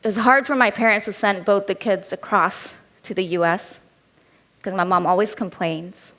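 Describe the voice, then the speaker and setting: A woman's voice: mid-range voice, fairly even intonation, normal speed, fairly bright tone. A woman in her thirties with an Indian accent, giving a keynote talk as a personal story told in a candid, lightly humorous way. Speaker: keynote speaker.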